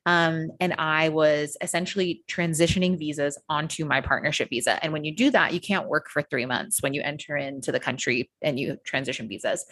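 American woman coach, low gravelly voice, dry close sound, chatty and casual with some swearing. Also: no room sound. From United States. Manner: energetic storyteller, swears casually, many fillers. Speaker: coach, podcast guest. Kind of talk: casual podcast interview.

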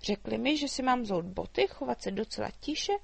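A woman voicing a small, chatty human girl who talks in eager, high-pitched chatter, in a storybook reading. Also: lively intonation, vocal fry, breathy edge, no room sound, exaggerated voice. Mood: lively.

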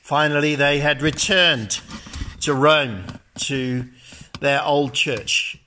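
A man who is a pastor, praying and then telling a story in a measured, storytelling way, speaking in a church. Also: fairly high pitch, pushed and bright, slight background noise. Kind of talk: sermon with narrated story.

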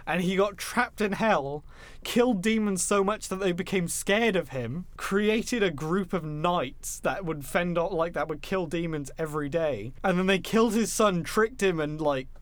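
A man's voice: sits high, normal speed, dry recording. A man in his twenties chatting casually as a podcast co-host, opinionated and sweary. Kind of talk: casual chat about films and games.

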